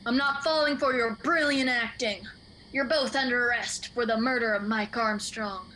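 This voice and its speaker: An actress playing a police officer who shouts commands in a student radio comedy. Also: female voice, a little high, steady tone, moderate pace, slight background noise, poor audio. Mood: commanding. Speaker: actor.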